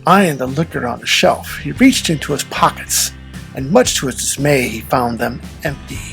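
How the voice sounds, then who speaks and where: A performer narrating a folktale audio drama in a measured, theatrical storybook manner with a husky, gravelly voice. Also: medium pitch, lively intonation, fairly bright tone, faint music behind, rough recording. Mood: dramatic.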